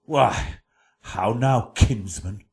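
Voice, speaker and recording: An actor playing a stern, commanding older uncle and head of a household in a Shakespearean radio drama. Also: male voice, mid-range voice, extremely slow, gravelly. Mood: firm. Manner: commanding, scolding.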